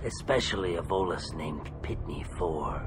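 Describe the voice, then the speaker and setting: A man voicing a small, anxious, self-serving alien merchant in a video game, with wheezy breath and halting phrases. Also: low-pitched, lively intonation. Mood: anxious, self-concerned. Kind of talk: game cutscene dialogue. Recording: game audio with music underneath.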